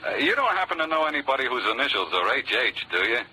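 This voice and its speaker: A man's voice: medium pitch, sing-song, fast, pushed and bright, slightly rough, heavily acted, low-quality recording. An actor playing a laconic, needling male police lieutenant in 1940s radio drama style. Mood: dry, needling. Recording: old radio recording, faint noise.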